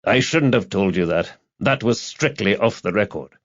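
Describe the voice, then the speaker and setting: Guarded male insider, acted by a man reading: measured, matter-of-fact, lowish pitch, audiobook drama. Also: animated, no room sound, muffled recording. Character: guarded, reluctant male confidant of a reporter.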